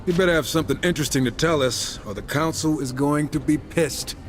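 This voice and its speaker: A man's voice: on the high side, a little fast, soft background music, natural voice. An actor playing a cocky, wisecracking supernatural horseman in a video game, sarcastic and casual. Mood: wry. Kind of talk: video game cutscene acting.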